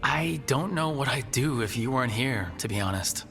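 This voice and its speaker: A man playing a calm, supportive hero in a fantasy video game, thoughtful and reassuring. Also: mid-range voice, moderate pace, creaky, soft background music. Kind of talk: scripted video game dialogue.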